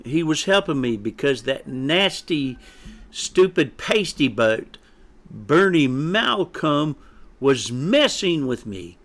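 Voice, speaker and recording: A man's voice: a little high, sing-song, takes it slowly, fairly bright tone, voice pushed up, exaggerated voice. A man voicing a timid young male chick in a storybook, earnest and quavering as he tries to stand up for himself. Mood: earnest protest. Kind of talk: animated storybook character voice.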